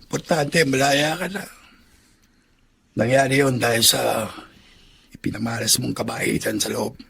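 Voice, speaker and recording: An actor playing an encouraging, plain-spoken fellow prisoner in a Filipino radio drama, with a very raspy voice. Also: male voice, mid-range voice, steady tone, unhurried, a bit bright, exaggerated voice. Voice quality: very raspy, gravelly.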